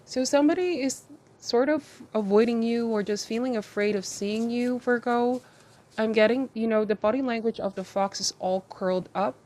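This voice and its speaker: A woman hosting a tarot reading, speaking to viewers in short measured phrases with a reflective, advising manner. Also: a little high, darkish tone. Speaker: tarot reader and channel host.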